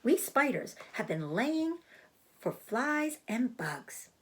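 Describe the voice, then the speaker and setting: A woman voicing a proud, clever female spider in a storybook, with a thin, breathy voice and a genteel, self-assured, explanatory manner. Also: fairly high pitch, sing-song, takes it slowly, slight background noise, voice pushed up. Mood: matter-of-fact, defensive. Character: clever, proud, matter-of-fact female spider, bloodthirsty trapper.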